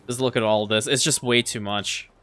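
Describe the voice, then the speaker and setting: A man's voice: medium pitch, fairly even intonation, moderate pace, pushed and bright, faint music behind. A man whose first language is Farsi, chatting casually as he plays a city-building game, often repeating a word as he restarts a sentence. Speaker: let's play creator. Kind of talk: casual gameplay commentary.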